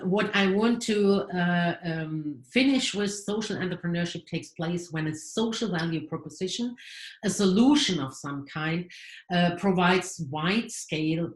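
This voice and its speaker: A woman moderating an online panel, hesitant and full of fillers as she introduces speakers. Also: sits low. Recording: roomy echo.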